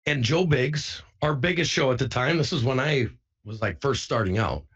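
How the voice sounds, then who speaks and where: A man's voice: medium pitch, lively intonation, normal speed, a bit bright, breathy edge, highs cut off. A man giving an informal, insistent first-person account to viewers as an online broadcaster, repeating phrases for emphasis.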